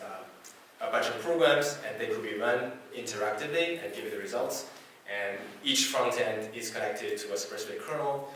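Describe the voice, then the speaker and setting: A man whose first language is Chinese gives a technical talk to a meetup audience, speaking haltingly with many fillers and restarts. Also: lowish voice, a bit bright. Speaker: conference speaker. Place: meetup presentation room. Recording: echoey hall from far off, faint hiss.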